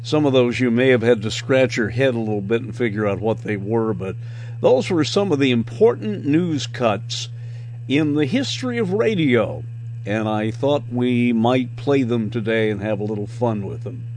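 A man's voice: medium pitch, expressive, normal speed, darkish tone, a little hiss or hum. A man, a radio host chatting casually to his listeners as he wraps up his show. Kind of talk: casual chat sign-off to listeners.

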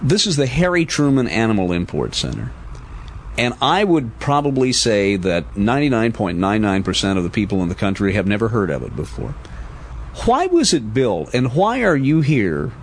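American radio interviewer, man with lively pitch. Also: a little hiss or hum. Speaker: radio host and journalist interviewing.

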